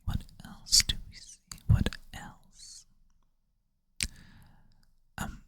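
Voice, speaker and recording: ASMR whisperer, sleepy and self-deprecating, bright airy close-mic whisper. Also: extremely slow. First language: Swedish. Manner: soft whisper, thinking aloud, self-deprecating. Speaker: ASMR creator. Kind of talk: whispered ASMR puzzle play-through.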